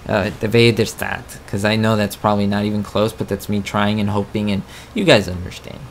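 A man's voice: lowish voice, steady tone, fairly quick, a little hiss or hum. A man giving casual, self-mocking commentary while playing a farming game, chatting to his viewers. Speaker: gameplay commentator. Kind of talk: casual let's-play commentary.

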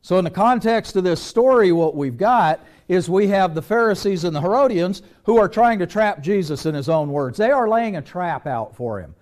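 A man's voice: high-pitched, animated, normal speed, few pauses, a little mellow. A middle-aged man preaching a sermon in a small church, moving about the stage and posing question after question to the congregation. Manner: conversational preaching, walks the stage with rhetorical questions.

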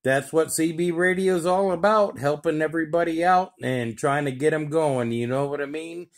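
A man with a slightly high voice chats casually over CB radio. He uses operator lingo in an easy, conversational way. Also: normal speed. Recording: CB radio chatter, radio sound.

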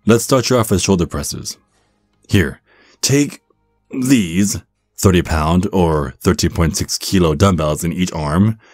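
A man's voice: low voice, slow, darkish tone, no room sound, clean studio sound, soft background music, full character voice. A man voicing a supportive, flirty werewolf gym bro in an ASMR roleplay, with an encouraging, stammering manner. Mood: friendly, coaching. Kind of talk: ASMR roleplay, one-sided conversation.

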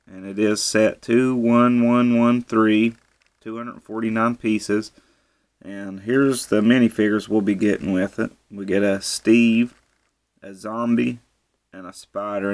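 A man casually reviewing a toy set at home, relaxed and easygoing, often repeating how cool it is. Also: lowish voice. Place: indoors at a table.

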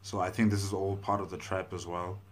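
A man in his thirties giving casual reaction commentary, thinking aloud in a halting, repetitive way. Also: low-pitched, level tone. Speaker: reaction video host. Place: small plain room indoors. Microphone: lapel mic.

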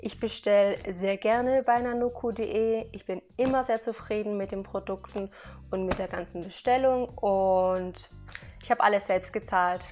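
A chatty woman in a casual product haul vlog, talking her viewers through each item. She speaks in a mid-range voice and breaks into laughter now and then. Faint music plays softly behind her. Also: medium pitch, steady tone, normal speed. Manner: chatty, laughs between remarks; explains items one by one to viewers. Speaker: vlogger.

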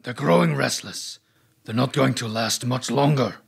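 A male actor as a huge upright grizzly bear man serving as henchman in an audio drama. The voice is extremely gravelly, full of creak, and husky. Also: medium pitch, normal speed, exaggerated voice. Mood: urgent.

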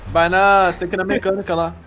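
A man's voice: high voice, little pitch movement, fairly quick, fairly bright tone. A Brazilian man with a Brazilian Portuguese accent, teasing his friend in casual banter during an online game. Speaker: gaming friend. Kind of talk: casual gameplay chat.

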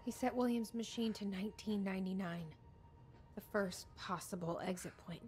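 A woman's voice: medium pitch, normal speed, a little mellow, long reverb tail, close to an everyday voice. A woman playing a weary, guarded resistance fighter in a video game, grave and restrained. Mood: grim, haunted. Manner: grave, restrained, weighted delivery. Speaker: video game character actor. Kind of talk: cinematic video game dramatic acting.

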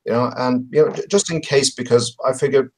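A man in his thirties or forties chatting casually as a podcast guest, in a halting way. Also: medium pitch, steady tone, darkish tone, rough recording. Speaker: podcast guest, game publisher. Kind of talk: casual chat. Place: book-lined room at home, video call.

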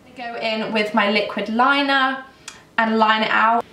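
A young woman in her twenties, losing her voice and croaky, chatting casually and self-mockingly through a makeup tutorial. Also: a little high, steady tone, moderate pace, pushed and bright, reverberant, far from the mic. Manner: chatty, self-mocking, rambling with many ums. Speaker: beauty vlogger. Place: indoors, plain grey backdrop.

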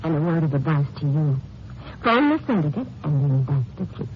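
A woman's voice: low-pitched, big pitch swings, a little fast. An actress playing a tender, teasing young American woman in a 1950s radio drama. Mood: earnest. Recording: dull, faintly noisy old radio recording.

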